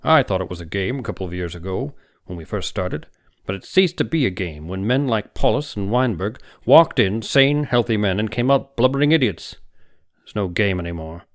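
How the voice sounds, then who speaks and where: A man's voice: animated, normal speed. A man voicing a huge, domineering older doctor in an audiobook, heavy-voiced, emphatic and insistent. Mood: stern, forceful. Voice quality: heavy, booming. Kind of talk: audiobook character dialogue.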